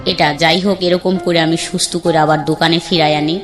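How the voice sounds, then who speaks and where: A woman in her thirties with a colloquial Bangladeshi accent tells her own life story in a plain, conversational way. She slips into other people's words as she recalls them. Her voice sits a little low, and faint music plays behind her. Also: little pitch movement, a little fast. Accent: Bangladeshi colloquial Bengali. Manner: plain conversational, acts out quoted dialogue. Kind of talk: candid storytelling.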